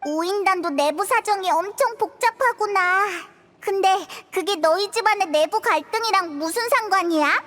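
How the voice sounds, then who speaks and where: A woman's voice: very high-pitched, normal speed, fairly bright tone. A woman voicing a small, childlike fairy companion in an anime-style game, curious and outspoken, asking blunt questions.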